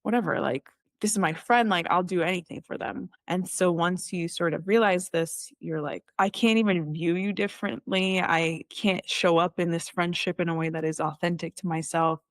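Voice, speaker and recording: A woman in her thirties with a lowish voice tells her own story in a loose, chatty way. She keeps saying "like" and "you know" and slips into quoting herself. The sound is close and dry, with no room around it. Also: fairly even intonation, moderate pace, hardly any pauses, dry recording. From Israel. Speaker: guest. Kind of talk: casual storytelling interview.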